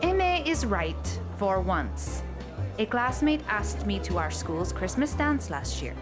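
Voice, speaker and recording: A blunt, sardonic teenage schoolgirl played by a woman in an audio drama. Her delivery is deliberate and dry, with wide swings in pitch over clear background music. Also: sing-song.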